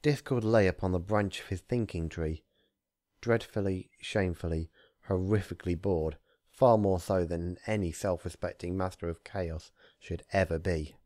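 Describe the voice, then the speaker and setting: Male story reader, lowish register, dry recording, casual host who narrates with deliberate theatrical delivery. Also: steady tone, warm tone, no room sound. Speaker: fan fiction reader, channel host. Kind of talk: casual intro, then narrated story reading.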